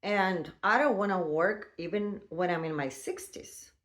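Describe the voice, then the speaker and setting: A woman in her fifties telling her own story in a casual, halting way, with many fillers and non-native phrasing. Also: lowish voice, fairly bright tone. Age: 50s. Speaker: vlogger.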